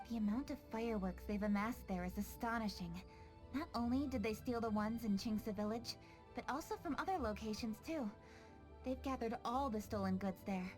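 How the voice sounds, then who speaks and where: A woman's voice: fairly high pitch, fairly quick, a little mellow, soft background music, natural voice. A woman playing a young, composed, determined official in a video game, speaking in a formal, commanding way. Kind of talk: animated video game acting.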